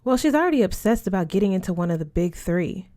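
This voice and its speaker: A woman's voice: mid-range voice, lively intonation, quick pace, dark tone, natural voice. A woman narrator plays a confident teenage boarding-school girl from a storybook. She talks frankly and knowingly, with breezy emphasis. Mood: knowing, gossipy.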